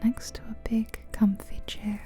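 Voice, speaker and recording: A woman doing a soft-spoken ASMR guided visualization in a breathy whisper, soothing, with pauses between short phrases, close to the mic. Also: a little high, little pitch movement. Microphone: close stereo ASMR microphone. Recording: close ear-to-ear mic, music behind.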